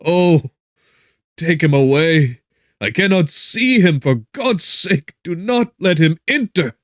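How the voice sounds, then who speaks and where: Guy doing tormented young prisoner in an audiobook, way higher and louder, melodramatic, dry sound. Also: animated, slow, dry recording. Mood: agonized, pleading. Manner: melodramatic, exclamatory. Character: anguished young man, feverish, despairing prisoner. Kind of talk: dramatic audiobook character acting.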